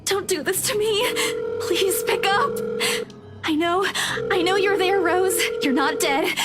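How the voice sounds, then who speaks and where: A woman's voice: very high voice, slow pace. An actress playing a distraught young woman who pleads in anguish in a visual novel game. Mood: desperate, pleading. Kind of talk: dramatic visual novel voice acting. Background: loud music, telephone tones.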